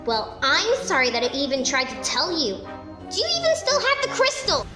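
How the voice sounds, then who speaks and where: A woman's voice: sits high, big pitch swings, moderate pace. A woman voicing a brash, hot-tempered, sarcastic female pony in a fan fiction audio drama. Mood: angry. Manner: sarcastic, accusatory. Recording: roomy echo under loud music.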